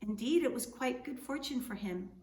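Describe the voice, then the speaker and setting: A woman reading a storybook aloud expressively, with deliberate, dramatic phrasing and drawn-out words. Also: fairly high pitch, lively intonation, a little mellow. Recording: echoey room, distant mic, faint noise.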